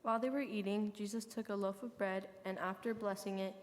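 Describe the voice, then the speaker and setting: In a reverberant church hall, a woman reads scripture narration at a middling pitch. She occasionally trips on a word and corrects herself. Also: fairly even intonation, a little fast, slight background noise. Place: large church during a service.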